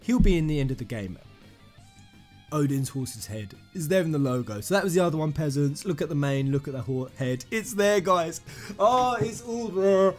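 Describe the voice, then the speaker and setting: A man hosting a fan theory video, rambling and emphatic, addressing his viewers directly in an excited commentary style. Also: fairly high pitch, moderate pace. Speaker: gaming YouTuber, host. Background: music under the voice.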